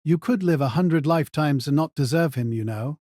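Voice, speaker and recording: A man's voice: a little high, moderate pace, mellow, no room sound, studio quality, lightly acted. A man acting in an audio drama as a jaded, hard-drinking older mentor who is sardonic, needling and blunt. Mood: weary, reproachful.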